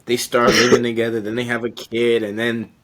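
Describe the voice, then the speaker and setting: A young man hosting a podcast, talking casually with an animated, playful manner. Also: medium pitch, a little fast. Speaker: podcast host. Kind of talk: casual conversation.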